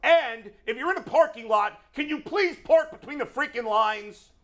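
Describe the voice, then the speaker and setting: A middle-aged man with a deep voice and a New York accent, ranting in casual chat as a sports radio co-host. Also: normal speed. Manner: animated, exasperated ranting.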